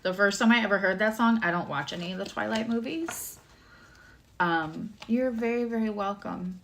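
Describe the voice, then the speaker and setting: A woman tarot reader and astrologer with a low voice, giving a livestream reading, chatty and emotional, addressing viewers by name. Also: normal speed, a little hiss or hum.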